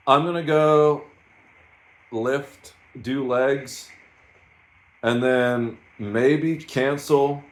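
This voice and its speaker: A man in his thirties talking in a rambling, self-mocking way in a casual solo vlog. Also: mid-range voice. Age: 30s. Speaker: streamer.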